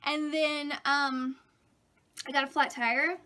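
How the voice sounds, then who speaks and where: A young woman, a bit hoarse from a cold, telling funny stories about her week to camera in a chatty, self-mocking way. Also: sits high, little pitch movement, takes it slowly, background noise. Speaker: vlogger. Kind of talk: casual chat to camera.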